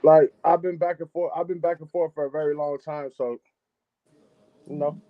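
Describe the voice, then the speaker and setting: A man from chats as a guest on a livestream. He is relaxed and loose, sometimes repeating his words before finishing a thought. His slightly raised voice comes through a muffled, dry video call. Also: a little high, moderate pace, no room sound. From Chicago, United States. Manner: laid-back, repeats and restarts phrases. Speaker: guest, music engineer. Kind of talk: casual interview over a live stream.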